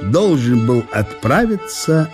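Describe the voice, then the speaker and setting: A male narrator giving an expressive, theatrical reading of a literary story for radio. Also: medium pitch, slow pace, over loud music. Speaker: narrator, actor.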